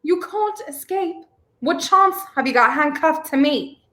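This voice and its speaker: A woman's voice: high-pitched, animated, unhurried, sharp tone, exaggerated voice. A young woman playing a stubborn, indignant, plaintive heroine in a 1930s radio play. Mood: scornful. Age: young adult.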